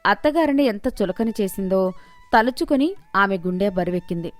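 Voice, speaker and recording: A woman's voice: mid-range voice, animated, normal speed, no room sound, faint music behind. A woman storyteller reading a scripted moral story as narration.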